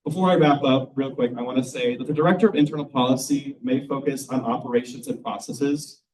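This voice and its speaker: A man's voice: medium pitch, a little fast, a little mellow. A young man from Michigan, a first-year student, giving an eager, formal campaign speech at a student forum. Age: young adult. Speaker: student election candidate. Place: room at a public forum. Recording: voice from far off the mic.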